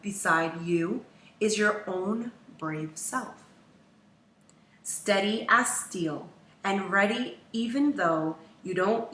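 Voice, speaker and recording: Slow, bright woman reading in an echoey room. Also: mid-range voice. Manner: measured, dramatic pauses, acts out quoted lines. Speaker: teacher reading a picture book aloud. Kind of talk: expressive children's storybook read-aloud. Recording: roomy echo, distant from mic.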